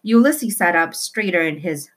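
Low-pitched woman reading a children's story. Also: lively intonation, moderate pace. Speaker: reader of a children's novel. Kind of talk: expressive storybook narration.

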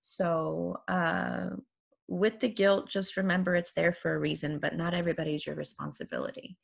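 A woman's voice: lowish voice, fairly even intonation, moderate pace, creaky, slightly rough. A woman in her forties whose first language is Farsi, hosting a casual talk and laughing often as she speaks. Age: 40s. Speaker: author and podcast host. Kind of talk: casual conversational interview.

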